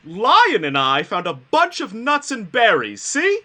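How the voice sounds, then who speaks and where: A woman playing a naive, eager, bumbling living scarecrow in a live fantasy table read, with halting delivery. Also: on the high side, wildly swooping pitch, pushed and bright, heavily acted.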